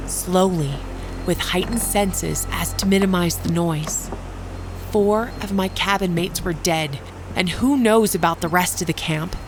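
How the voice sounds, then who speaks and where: A woman playing a wounded preteen camp girl, narrating a horror story in the first person in a deliberate, detached, dramatic way. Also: medium pitch, natural voice. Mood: wary, resolute. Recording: music behind the voice.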